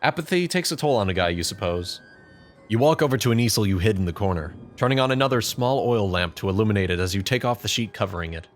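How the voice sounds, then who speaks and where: A man's voice: on the low side, lively intonation, quick pace, a little hiss or hum. A man reading scripted second-person story narration as the narrator of a fan fiction drama.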